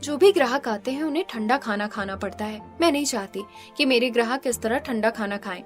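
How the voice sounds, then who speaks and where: An earnest, caring restaurant woman from a cartoon story, acted by a woman. Her voice sits a bit high, with music underneath. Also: a little fast, close to an everyday voice. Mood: worried. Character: hardworking, caring young woman running a roadside restaurant. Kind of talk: scripted cartoon dialogue.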